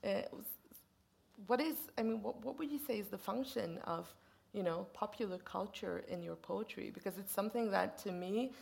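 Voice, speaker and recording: A woman's voice: medium pitch, normal speed, creaky, darkish tone. A woman interviewing a poet, asking long, hesitant questions while searching for her words. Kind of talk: literary interview.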